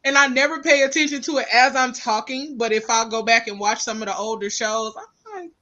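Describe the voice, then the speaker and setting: A woman with a Southern US accent chatting casually as a podcast host, expressive and self-deprecating. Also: fairly high pitch, a little fast. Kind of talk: casual chat.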